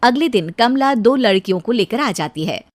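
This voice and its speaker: A woman narrates an animated tale from a script. Her voice is high, and she tells it as the storyteller between the dialogue. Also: moderate pace.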